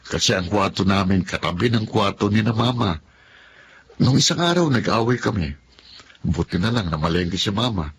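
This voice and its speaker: An actor playing a frustrated, blunt husband of thirty in a Filipino radio drama. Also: male voice, lowish voice, expressive, moderate pace, a bit bright, slight background noise. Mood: dejected, confiding. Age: 30s.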